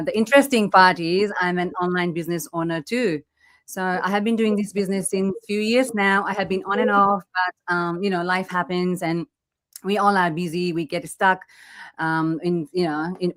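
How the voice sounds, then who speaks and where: Low-voiced woman from Nepal, chatty. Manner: friendly and conversational, frequent "you know". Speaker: guest. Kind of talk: casual live stream conversation.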